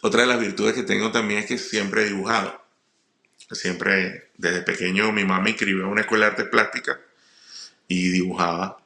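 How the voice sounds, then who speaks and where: A middle-aged man with a Venezuelan accent tells personal stories informally, often halting and repeating words. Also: on the low side, fairly even intonation, gravelly, bright, forceful tone. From Puerto La Cruz, eastern Venezuela. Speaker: comedian, guest speaker. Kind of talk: personal storytelling monologue. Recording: roomy echo.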